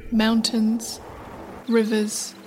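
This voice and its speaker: A woman's voice: a little high, completely flat delivery, very slow, noisy background. A woman narrating a scripted folktale as the storyteller of a radio play. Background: music.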